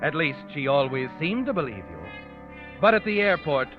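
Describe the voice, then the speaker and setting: An actor playing a sinister, knowing American narrator in 1940s radio suspense, ominous, deliberate and insinuating. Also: male voice, fairly high pitch, very animated. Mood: knowing, taunting. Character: sinister, knowing male narrator taunting the guilty. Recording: muffled vintage radio, music underneath. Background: dramatic music.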